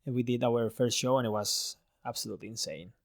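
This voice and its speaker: A man's voice: medium pitch, fast, gravelly, no room sound, clean studio sound. A man in his thirties from Spain, an English speaker, telling stories in a casual interview with many restarts. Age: 30s. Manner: chatty storytelling with many restarts and laughs. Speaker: freestyle motocross rider, guest.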